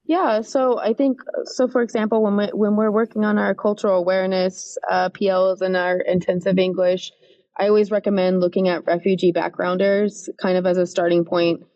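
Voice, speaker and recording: A woman who coordinates English learner programs, talking through classroom advice in a relaxed, thoughtful way with frequent restarts. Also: mid-range voice, moderate pace, dull audio. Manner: thoughtful, restarts often, many "um" and "right?". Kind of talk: casual podcast interview.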